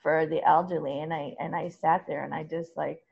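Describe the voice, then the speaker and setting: A talkative woman with a loud voice, chatting as an interview guest and laughing often as she talks. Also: low voice, flat delivery, fairly quick, gravelly, husky, muffled recording. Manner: chatty and laughing, with many restarts. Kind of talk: casual conversational interview.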